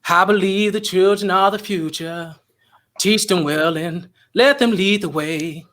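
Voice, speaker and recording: A man's voice: high voice, measured pace, fairly bright tone. A man playing an earnest, self-important lounge singer with a showy, dramatic manner in a comedy table read. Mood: overwrought.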